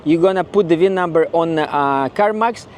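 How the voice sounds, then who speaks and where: A man's voice: fairly high pitch, normal speed, slight background noise. A man with a Ukrainian accent talking casually in a vlog, blunt and regretful, often repeating himself. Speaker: car owner vlogger.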